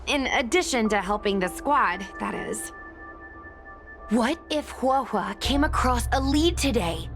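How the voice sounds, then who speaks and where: Earnest, very high-voiced young woman. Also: measured pace, faint music behind. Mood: thoughtful. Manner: animated, direct. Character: earnest, outspoken young woman helping a search. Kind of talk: video game voice acting.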